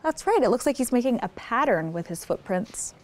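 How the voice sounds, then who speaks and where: A woman's voice: on the high side, very animated, a little fast. A woman hosting story time, reading a picture book aloud expressively and putting simple questions to young listeners. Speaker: children's story time host.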